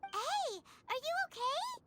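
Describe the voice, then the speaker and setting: A tiny, chirpy fairy sidekick from a video game, with an extremely high, squeaky voice that swoops all over. She is eager and helpful, heard over loud background noise. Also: very animated, slow, exaggerated voice. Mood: concerned, reassuring. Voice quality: squeaky, childlike. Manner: exclamatory, eager. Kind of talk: animated game dialogue.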